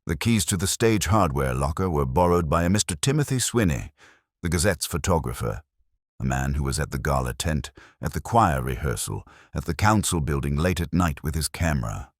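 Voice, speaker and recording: Detective drama inspector, played by a male narrator: very low, measured, wry, animated intonation, pointed questioning. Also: no room sound, clean studio sound. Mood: matter-of-fact. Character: gentle, wry, probing male police inspector.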